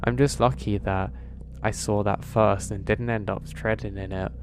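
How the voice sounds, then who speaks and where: A man narrating first-person horror stories in a steady, even storytelling read. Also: on the low side, normal speed, noisy background. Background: background music.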